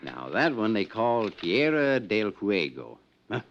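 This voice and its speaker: A genial, talkative insurance man in an old radio drama, his lowish voice swinging up and down as he gets worked up. He talks in a folksy, excitable way. The sound is dull and muffled, like an old broadcast. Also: takes it slowly, gravelly, slight background noise, heavily acted. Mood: chatty. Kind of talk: 1950s radio drama acting.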